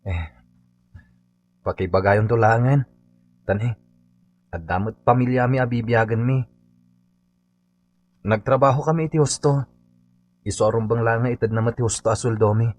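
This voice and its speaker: An actor playing an earnest, complaining construction worker in a comedy radio drama. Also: male voice, medium pitch, steady tone, normal speed, pauses often, lightly acted. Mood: pleading. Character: unpaid male construction worker, earnest and pleading.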